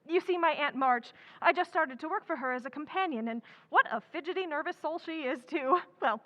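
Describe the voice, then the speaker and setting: Woman doing a chatty gushing teen girl in an old radio play, high voice, kinda dull lo-fi recording. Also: brisk, bright, forceful tone. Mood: chatty, breezy. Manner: gushing, theatrical exclamations. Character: Jo, exuberant, chatty, impulsive teenage girl. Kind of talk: old-time radio drama acting.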